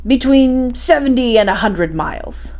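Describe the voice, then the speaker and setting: A learned older gentleman professor as a woman storyteller reads him from an adventure storybook. He is assured and didactic, explaining everything with firm confidence. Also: on the high side, expressive, unhurried. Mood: resolute.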